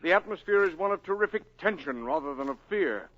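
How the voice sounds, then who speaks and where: An urgent radio newsman reports live from the scene. His voice sits high and the broadcast sounds dull and lo-fi, like an old radio recording. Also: expressive, fairly quick. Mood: tense. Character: male radio news announcer at a landing site. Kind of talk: 1950s radio drama acting.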